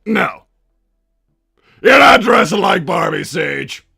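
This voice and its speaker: Casting: bombastic cartoon mad-scientist villain, doting but hot-tempered, high-pitched male voice with heavy rasp. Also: sing-song, takes it slowly, pushed and bright, no room sound, shouting, studio quality, faint music behind, heavily acted. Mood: stern. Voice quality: gravelly, raspy. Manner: blustering, bombastic. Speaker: livestream host. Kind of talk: in-character livestream chat.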